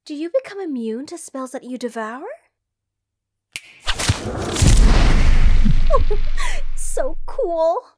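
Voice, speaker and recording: A woman's voice: high-pitched, big pitch swings, background noise, exaggerated voice. A woman playing a gushing, eccentric mage besotted with cursed creatures, in a rambling, whimsical fantasy ASMR roleplay addressed to the listener. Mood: excited, gushing. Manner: slow, rambling, doting, theatrically whimsical. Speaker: voice actor.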